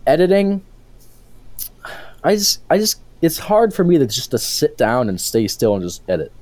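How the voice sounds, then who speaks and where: A man's voice: a little high, very animated, normal speed, a little mellow, no room sound. An eighteen-year-old man co-hosting a casual podcast, rambling and restarting his sentences as he talks. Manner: rambling, self-interrupting, with frequent restarts. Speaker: podcast co-host.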